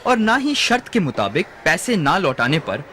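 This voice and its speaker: Man playing a high-pitched, greedy cartoon moneylender. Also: very animated, normal speed, sharp tone, slight background noise. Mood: complaining. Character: greedy, stubborn, scheming male moneylender. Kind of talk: animated moral story acting in Hindi. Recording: music bed behind the voice.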